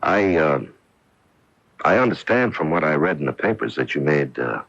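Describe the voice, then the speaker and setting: Gravelly, deep police lieutenant. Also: male voice, animated, normal speed, a bit bright, dry recording, exaggerated voice. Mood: probing, guarded. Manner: understated, probing. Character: probing, patient male police lieutenant questioning a suspect. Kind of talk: radio drama acting. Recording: muffled old AM radio broadcast.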